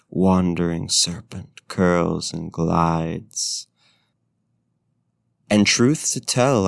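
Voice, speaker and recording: Courtly, seductive male vampire played by a man for ASMR roleplay: low register, unhurried, genteel and literary. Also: no echo, clean studio sound. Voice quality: smooth, deep. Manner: formal, genteel, theatrical phrasing. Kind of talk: ASMR roleplay, intimate talk and poetry reading.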